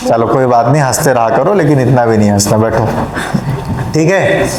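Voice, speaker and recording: A man's voice: medium pitch, moderate pace, a crowd in the background. A male biology teacher with a sore throat, lecturing a class and drilling students with repeated questions. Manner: scolding, then joking with students. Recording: very echoey classroom.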